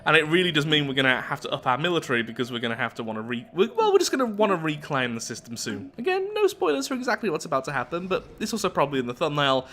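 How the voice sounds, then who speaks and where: A man's voice: on the high side, sing-song, rapid-fire, hardly any pauses, a bit bright. A man hosting a strategy game roleplay, chatting casually and poking fun at himself as he goes. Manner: chatty, self-deprecating. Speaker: gaming video host. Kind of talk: casual game commentary with read-aloud storytelling. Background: faint music.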